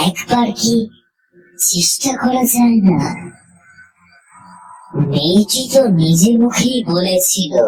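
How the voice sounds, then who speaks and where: A woman narrating a scripted horror story in the first person, suspenseful and dramatic, with deliberate pauses. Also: lowish voice, a little mellow, low-quality recording. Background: music.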